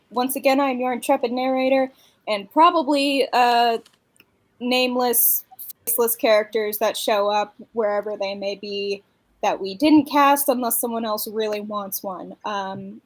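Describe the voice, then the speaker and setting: A woman's voice: a little high, fairly even intonation, normal speed. A woman hosting an informal online group stream, chatting casually as the narrator of the cast. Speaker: stream host and narrator of a comic dub.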